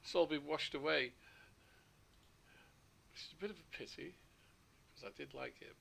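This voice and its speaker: A man streaming a flight simulator, chatting casually with rambling asides, his voice a little hoarse. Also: a little high, moderate pace, a bit bright, a little hiss or hum. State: slightly hoarse. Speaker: flight sim livestreamer. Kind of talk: casual livestream commentary.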